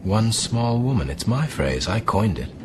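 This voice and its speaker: A steadfast castaway gentleman at sea in a radio drama. He is earnest and protective, with formal, literate phrasing. Noisy boat and sea effects sit behind him. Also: on the low side, sing-song, measured pace. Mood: tender. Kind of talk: broadcast radio drama acting.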